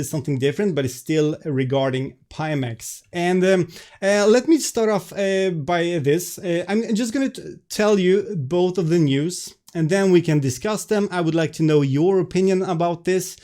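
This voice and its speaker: A man with a Swedish accent talks on a live stream, his voice sitting a bit higher than most men's. He sounds stressed and keeps apologizing, tripping over restarts and filler words. He chats casually, straight to his viewers, over a webcam. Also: moderate pace, a little mellow.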